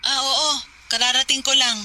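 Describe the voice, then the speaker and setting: A woman's voice: high-pitched, little pitch movement, moderate pace, sharp tone. A woman playing a young working wife, casual and reassuring, in a radio drama conversation. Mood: apologetic, casual. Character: young working wife, calm and mildly skeptical.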